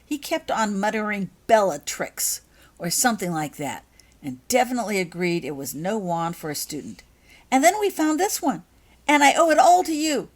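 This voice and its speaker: A woman voicing an excitable, impatient, gushing young schoolgirl witch in a storybook reading, eager and emphatic. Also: medium pitch, normal speed, voice pushed up. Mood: excited, gushing. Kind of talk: audiobook character voice.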